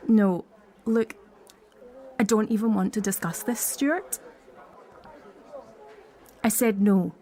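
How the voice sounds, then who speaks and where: A woman's voice: medium pitch, takes it slowly, pauses often, mellow. An actress playing a prickly, sardonic woman in a naturalistic audio drama, speaking bluntly. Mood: angry, insistent. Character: prickly, sardonic Scottish woman wheelchair user named Elsa.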